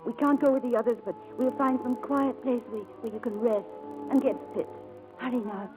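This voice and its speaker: A woman's voice: a little high, brisk, narrow, tinny audio, close to an everyday voice. An actress playing a devoted, spirited young woman in a 1940s radio drama, speaking in an earnest, pleading and effusive way. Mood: resolute, soothing. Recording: old radio broadcast with music behind.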